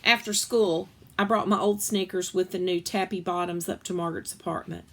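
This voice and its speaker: A woman reading a children's book aloud as a storyteller, expressive and acting out the dialogue. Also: lowish voice, a little fast.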